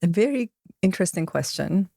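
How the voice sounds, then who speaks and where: A woman from Iran, a podcast guest telling a reflective story with soft laughs. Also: lowish voice, expressive, brisk, vocal fry, no echo. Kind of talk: podcast interview.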